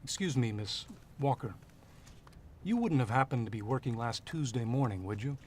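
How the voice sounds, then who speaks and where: A cool, unruffled private detective, acted by a man in an old-style noir radio play. He speaks at a middling pitch with clipped, businesslike phrasing, over a clearly noisy recording. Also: lively intonation, moderate pace, darkish tone, close to an everyday voice. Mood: cool, narrating. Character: cool, curt male private investigator, narrating his case. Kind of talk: 1940s noir radio drama acting and narration.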